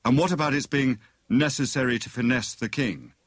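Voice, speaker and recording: A man's voice: medium pitch, moderate pace, no echo, clean studio sound, voice pushed up, lightly acted. A man voicing a jovial, pompous husband who plays amateur detective in a teasing, mock-grand manner for an audiobook. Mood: mildly sceptical.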